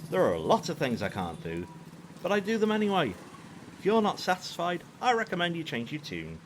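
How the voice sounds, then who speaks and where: A man's voice: on the high side, huge pitch swings, a little fast, slight background noise. A man playing a cocky, callous hitman for hire in an audio drama, with a sarcastic, taunting manner. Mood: smug.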